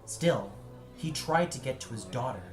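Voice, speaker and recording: A man narrating a dramatic scripted story in a solemn, deliberate, measured way. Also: medium pitch, expressive. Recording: echoey room, music underneath. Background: music.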